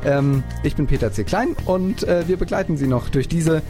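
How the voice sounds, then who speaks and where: A man hosting a casual radio interview in a studio, jovial and quick to affirm his guest. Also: on the high side, music in the background. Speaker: radio host.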